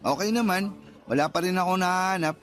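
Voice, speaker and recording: An actor playing a defensive, teasing, flippant young boyfriend with a Filipino accent in a radio drama, whining out excuses. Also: male voice, high-pitched, brisk, fairly bright tone. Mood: stubborn, flippant. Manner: whiny, flippant excuse-making. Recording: background music under the voice.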